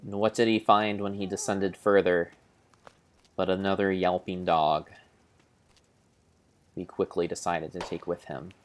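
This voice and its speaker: A man giving a grave, theatrical, storybook-style narration of video game play, in deliberate phrases with pauses. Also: low-pitched, level tone. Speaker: streamer narrating his gameplay.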